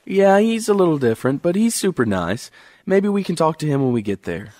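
An actor playing an easygoing young groom-to-be in a radio drama. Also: male voice, on the high side, big pitch swings, fairly quick, darkish tone, no echo. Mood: reassuring.

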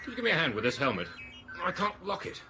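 A man's voice: fairly high pitch, very animated, fast talker, music in the background. An actor playing an amiable, willing spaceship crewman in a radio drama, good-humoured and wry. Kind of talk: 1980s radio drama acting.

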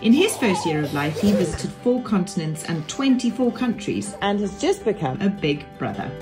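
A woman's voice: on the low side, very animated, normal speed, music in the background. A woman, hosting a vlog in an enthusiastic, chatty way. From Zimbabwe. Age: 30s. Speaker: vlog host. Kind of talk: upbeat vlog narration and chat to camera.

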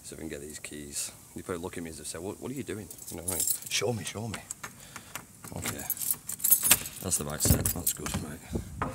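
A man who cleans a train station, giving a casual walking tour on his phone, chatty and rambling, with a deep voice. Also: fairly quick, very noisy. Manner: chatty, rambling, says "you know what I mean". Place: old train station toilets and platforms at night. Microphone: handheld phone.

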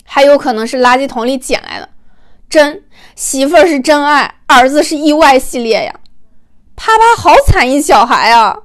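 Lady doing gushy young livestream fan, high voice, teasing and exclaiming, audiobook style. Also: lively intonation, normal speed. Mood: excited gushing. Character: gushing livestream viewers, excitable young fans.

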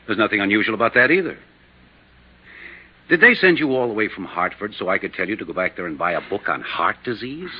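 Deep-voiced, testy doctor. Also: male voice, very animated, brisk. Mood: testy, sarcastic. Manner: curt, dismissive, sarcastic. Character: testy, evasive male insurance doctor. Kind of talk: 1950s radio acting. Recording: muffled, faintly noisy old radio recording.

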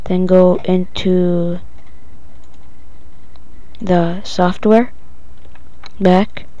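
Casual tutorial presenter, female-sounding, monotone and improvised, lo-fi muffled home recording. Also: mid-range voice, no echo. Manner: halting, improvised step-by-step instructions.

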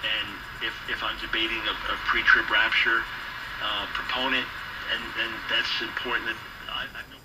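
A man in a theological discussion, argumentative and repeating himself for emphasis, heard through an old radio loudspeaker. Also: on the low side, little pitch movement, normal speed. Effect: played through an old radio loudspeaker. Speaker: speaker on a religious radio program. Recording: very noisy distant old radio speaker playback.

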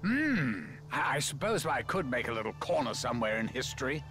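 A man's voice: mid-range voice, very animated, fairly quick. An actor playing an irritable, whimsical, all-powerful older man in a game, musing theatrically and with condescension. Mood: musing, exasperated. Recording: loud music behind.

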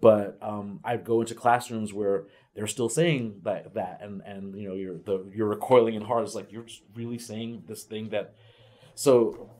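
A man's voice: on the low side, level tone, warm tone. Earnest man speaking on a panel. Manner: earnest, halting with restarts. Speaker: ethnic studies teacher coach. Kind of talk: panel talk to students. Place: lecture room, seated at table. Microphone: far camera.

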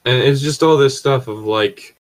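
A man's voice: mid-range voice, moderate pace. A man chatting casually and bluntly, swearing freely and arguing his points. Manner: profane, argumentative. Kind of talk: casual opinionated chat.

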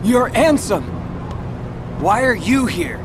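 A man's voice: very high voice, expressive, slow pace, background noise, heavily acted. An actor playing a guarded, defiant teenage boy hero in a video game, terse, dry and challenging.

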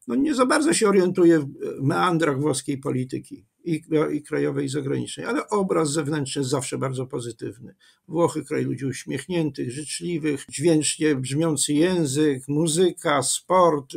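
An older man, a history professor, with a strongly gravelly, husky voice pitched somewhat high for a man. He explains at length in a measured, digressive way, full of fillers and restarts. He speaks into a webcam from a home study. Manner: measured, digressive, with many fillers and self-corrections. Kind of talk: lecture-style interview answer.

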